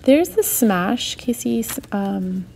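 A woman's voice: mid-range voice, expressive, very slow, vocal fry, mellow, soft background music. A woman giving a casual, chatty and enthusiastic running narration as a shopping vlogger. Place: indoors, in a store aisle.

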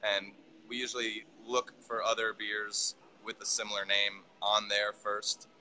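A man in his thirties, a brewery owner, talking casually and haltingly on a video call from inside his brewery. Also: on the low side, slight background noise, poor audio. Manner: relaxed, halting, with restarts. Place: brewery building, indoors. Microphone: video call, phone or laptop camera.

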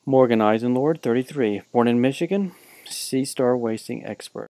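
A man giving deadpan mock-documentary narration, reading out a team roll call for a class parody film. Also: medium pitch, normal speed, no echo.

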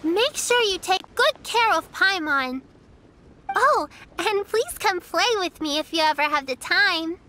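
A woman's voice: expressive, unhurried, a little hiss or hum, heavily acted. A chirpy little girl. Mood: cheerful. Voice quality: high, bright and sweet. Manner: chirpy, earnest, polite. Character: cheerful little girl, sweet and eager. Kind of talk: video game voice acting.

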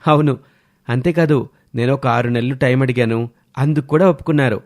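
A man's voice: mid-range voice, a little fast, natural voice. A man playing an eager, affectionate young man in a cartoon story. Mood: excited. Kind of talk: scripted cartoon dialogue.

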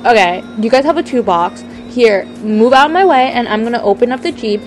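A woman's voice: on the high side, lively intonation, fairly quick, faint music behind, close to an everyday voice. A woman voicing a capable, assertive grown daughter who works as a doctor, in an amateur roleplay drama. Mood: brisk, helpful. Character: capable, busy grown daughter, a doctor, hurt but forgiving.

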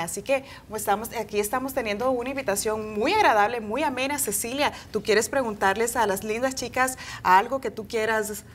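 A woman's voice: fairly high pitch, a little hiss or hum. A woman from El Salvador hosting a Spanish-language studio interview, chatty and exclamatory. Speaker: TV host. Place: indoor TV studio set.

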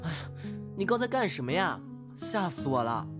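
Gentle, bookish male student played by a man, earnest and courteous, slightly high-pitched, radio play with music behind. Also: very animated, moderate pace. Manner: polite, earnest, mild. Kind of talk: amateur audio drama acting.

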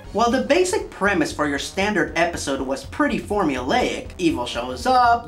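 A man in his thirties delivering scripted review commentary in a theatrical, emphatic manner. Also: high-pitched. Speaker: video review host. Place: small indoor studio room.

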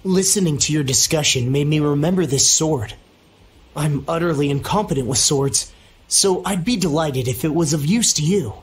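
A man's voice: fairly high pitch, moderate pace, a little hiss or hum, close to an everyday voice. A man playing a courteous, modest young nobleman in a fantasy game. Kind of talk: video game voice acting.

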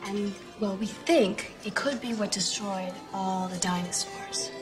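An earnest, nervous young woman played by an actress speaks in a film scene at an ordinary female pitch. Music plays clearly behind her. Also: a little slow, close to an everyday voice. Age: young adult. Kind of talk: film acting.

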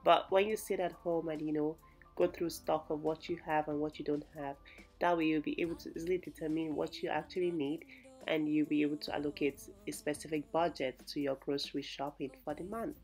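A young woman talks through her grocery shopping in a chatty, explaining way, full of little fillers. Her voice sits fairly low for a woman. Soft music plays quietly behind her. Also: steady tone, a little fast. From Nigeria. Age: young adult. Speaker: vlogger. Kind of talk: casual shopping vlog narration.

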